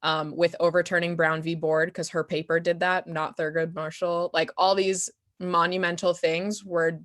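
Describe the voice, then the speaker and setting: An American woman speaking casually and giggly, answering questions after a show as an actor and playwright. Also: low voice. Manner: giggly, animated, informal. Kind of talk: casual post-show talk.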